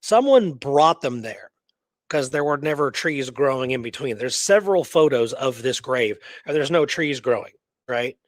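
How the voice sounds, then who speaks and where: A man's voice: medium pitch, animated, moderate pace, dry recording. A man co-hosting a radio show, casually telling historical research and often checking in with "right?" and "you know".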